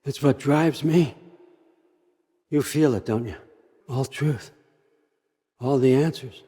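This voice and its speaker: A man's voice: mid-range voice, slow pace, mellow, husky. An older American man acting in a video game as a scheming, controlling old father god, wry, folksy and conversational as he manipulates. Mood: intense, obsessive.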